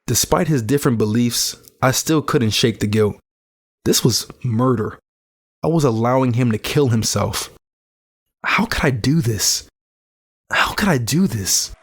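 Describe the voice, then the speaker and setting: A man's voice: medium pitch, expressive, measured pace, slightly rough. A man acting in an audio drama as an earnest, solemn, guilt-ridden man of faith. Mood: guilty. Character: Jordan, guilt-ridden devout man working at a deadly fight ring. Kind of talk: audio drama acting with inner monologue and prayer.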